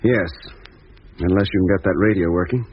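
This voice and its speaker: An actor playing a steady, reassuring doctor aboard a Moon rocket, in a 1950s radio drama. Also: male voice, deep voice, a little fast, breathy edge. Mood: sober, reassuring. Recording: dull 1950s radio recording.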